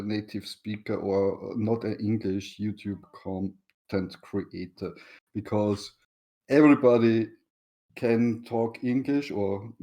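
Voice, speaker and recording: A man's voice: lowish voice, dark tone. A man with an Austrian German accent, a native German speaker chatting as a guest in English and hesitating as he searches for words. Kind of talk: casual chat.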